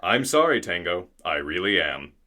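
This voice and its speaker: A man playing a flustered, theatrical radio drama narrator, halting and dramatic as he pleads. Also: deep voice, big pitch swings, sharp tone, full character voice. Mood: pleading.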